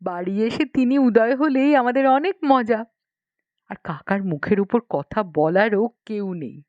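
A woman narrating a literary story as an audiobook reader, in an expressive storytelling style. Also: fairly high pitch, a little slow. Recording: muffled lo-fi, roomy echo.

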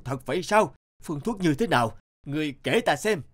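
A man voicing a gluttonous, hot-tempered fat king in an animated folk tale, imperious and blustering, with music behind. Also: on the high side, brisk. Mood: stern.

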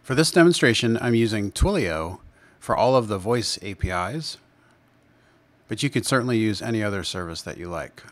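Male screencast presenter, lower register, measured step-by-step tutorial delivery, unscripted. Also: lively intonation, no echo. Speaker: presenter of a software demo.

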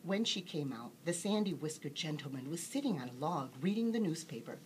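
A woman reading a children's bedtime story aloud as an expressive storyteller. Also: low-pitched, brisk, slight background noise, low-quality recording.

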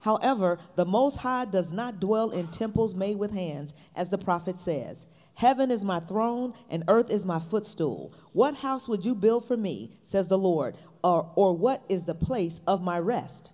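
A woman reading scripture aloud in a measured, fluent way. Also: medium pitch, lively intonation, a little mellow.

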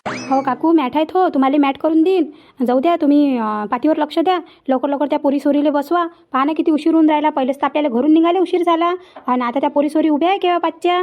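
A woman's voice: high-pitched, fast talker, lo-fi sound. A woman voicing a polite, dutiful young village lad in a comedy cartoon, urging others on with a Vidarbha Marathi accent. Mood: earnest, urging. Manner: urging, deferential.

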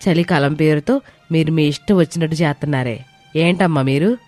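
A woman's voice: low-pitched, fairly quick, darkish tone, lightly acted. A woman voicing an irritable elderly mother-in-law in a family story, nagging and sarcastic. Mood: scolding. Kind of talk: dramatized moral story voice acting. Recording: music behind the voice.

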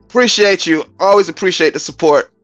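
An American man around fifty hosting a live stream as a comedic mock church testimony, with a theatrical, drawn-out, preacher-like delivery. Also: sits high, a bit bright, no room sound, dull audio, faint music behind. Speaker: YouTube live stream host.

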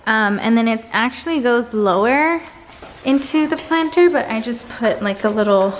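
A woman's voice: fairly high pitch, animated, normal speed, a little hiss or hum, poor audio. Chatty young woman vlogging at arm's length. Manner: chatty, filler-heavy, upbeat. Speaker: lifestyle vlogger. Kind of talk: casual vlog chat to camera. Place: home and city street, indoors and out. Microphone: handheld camera at arm's length.

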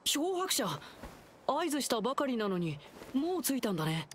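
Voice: high voice, moderate pace, slight background noise. Voiced as an upbeat young male hero. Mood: eager. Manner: upbeat, reassuring, lively. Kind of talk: Japanese game dub acting. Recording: game audio with loud music.

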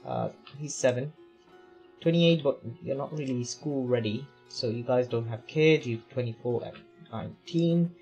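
A man's voice: mid-range voice, unhurried, creaky, a little mellow, soft background music, poor audio. A man with a tired, failing voice gives casual, rambling commentary on his city-building game, full of fillers and questions to himself. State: voice going hoarse. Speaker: let's play gamer.

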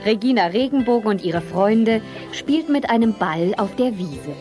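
A woman's voice: medium pitch, expressive, normal speed, over loud music, lightly acted. A woman narrating a children's radio play in a storybook style. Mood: unhurried.

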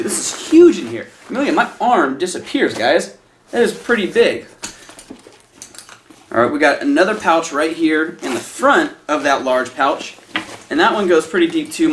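A man's voice: fairly high pitch, a bit bright, reverberant, slight background noise. A man in his thirties casually reviewing a backpack for his viewers, chatty and enthusiastic, calling them guys. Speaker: product reviewer. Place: small indoor room with a decorated Halloween backdrop.

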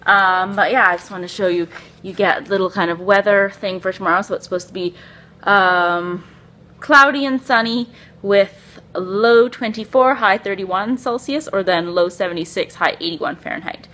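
A woman's voice: medium pitch, moderate pace, a bit bright. A young woman from Canada vlogging on holiday, talking casually and rambling with many fillers, recorded in a hotel room on a handheld camera. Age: young adult. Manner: rambling, upbeat, lots of "like" and "um". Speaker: travel vlogger. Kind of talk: casual chatty vlog. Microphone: phone camera held at arm's length.

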